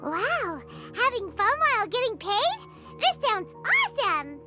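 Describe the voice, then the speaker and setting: A woman's voice: extremely high pitch, big pitch swings, exaggerated voice. A woman voicing a tiny, chirpy female fairy companion in a video game, bubbly and eager. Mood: excited. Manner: bubbly, eager, quick to react. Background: game music.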